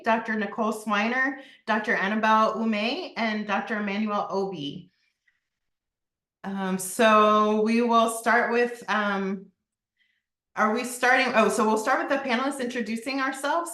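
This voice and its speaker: A woman hosting an online panel discussion as moderator, informal and somewhat halting. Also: on the high side, sharp tone. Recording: roomy, echoing sound.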